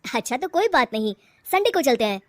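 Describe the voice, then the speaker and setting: A patient young cartoon husband, played by a woman narrator in her own high voice. He coaxes gently and sounds earnest. His lines have a lively, bouncing lilt. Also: a little fast. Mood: coaxing. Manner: coaxing, earnest.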